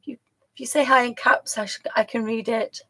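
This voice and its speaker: A woman hosting a live stream, chatting casually and rambling with a croaky voice, often laughing and trailing off. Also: on the high side, flat delivery, fairly quick, bright, forceful tone.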